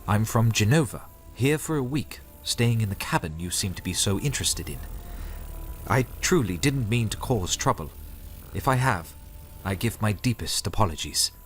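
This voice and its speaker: An actor playing a calm, courteous knight in a fantasy audio drama, speaking in an earnest, measured way. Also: male voice, fairly low pitch, soft background music, natural voice. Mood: resigned, apologetic. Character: calm, courteous male knight and dragon rider.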